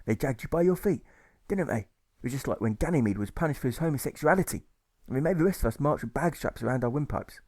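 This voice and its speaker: A man plays a learned schoolmaster in a storybook. He talks in a bookish, chatty way and keeps seeking agreement, slightly raised in pitch and recorded dry. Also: expressive, quick pace, darkish tone, no room sound. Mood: needling. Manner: bookish, ending remarks with tag questions.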